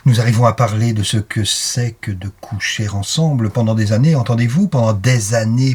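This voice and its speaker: A man's voice: fairly low pitch, a little mellow, breathy edge. A man reciting poetry dramatically, in short, insistent, incantatory phrases. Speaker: poetry reader.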